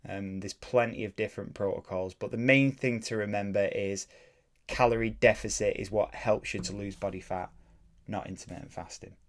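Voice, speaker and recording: Low-voiced fitness coach rambling. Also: male voice, moderate pace. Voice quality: plain, even voice. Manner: rambling, self-correcting, drops into mild ranting. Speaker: fitness coach and podcast host. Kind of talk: casual solo podcast talk.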